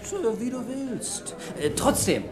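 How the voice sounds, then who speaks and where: An actor playing a sly, pushy small-time gangster in an old German radio crime play, talking in slangy, cajoling jabs. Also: male voice, extremely high pitch, big pitch swings, slow, music in the background, full character voice. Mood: needling, persuasive. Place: radio drama studio.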